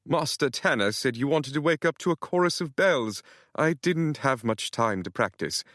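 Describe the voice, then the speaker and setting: A man's voice: medium pitch, lively intonation, moderate pace, fairly bright tone, dry recording, studio quality, pitched above the performer's own voice, lightly acted. A man voicing a small, fussy male butler with a British accent and a formal, overly polite manner. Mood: prim.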